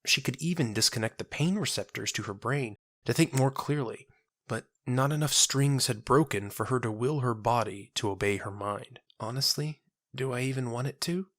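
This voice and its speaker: Audio drama narrator, medium pitch, solemn, measured, scripted storytelling, dry studio-like sound. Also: no echo.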